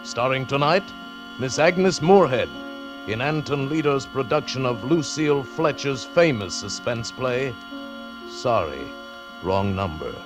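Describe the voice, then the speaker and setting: A man as a 1940s radio announcer, introducing a show in a measured, portentous, dramatic manner. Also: medium pitch, very animated. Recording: vintage radio broadcast with music. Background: music.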